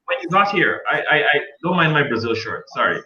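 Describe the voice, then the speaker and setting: A man's voice: a little high, big pitch swings, fairly quick, highs cut off, very noisy. A man from Guyana with a Guyanese accent, a journalist talking as a guest on a casual panel, chatty and joking with many restarts.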